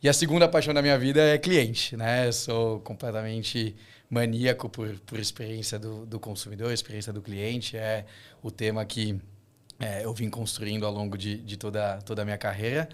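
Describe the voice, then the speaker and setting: Eager podcast guest in his 30s, slight Israeli accent, lower pitch, halting repeats. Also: little pitch movement. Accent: slight Israeli accent in Portuguese. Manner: enthusiastic, repeats words while thinking. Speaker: podcast guest, startup co-founder. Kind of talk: casual interview chat.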